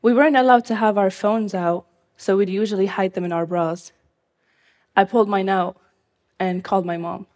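A woman from Syria telling her own life story in the first person, in measured, phrase-by-phrase delivery. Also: medium pitch, fairly even intonation, a little mellow. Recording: long hall reverb.

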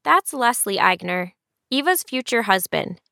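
Reporter, woman, a touch high, dry close sound, steady documentary narration. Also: unhurried, gravelly, dry recording.

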